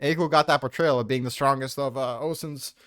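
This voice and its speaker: A man's voice: mid-range voice, fairly even intonation, fairly quick, fairly bright tone, smooth, no echo, studio quality. An American man hosting a casual fan debate, brash and slangy with heavy swearing. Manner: brash, slangy, heavily profane.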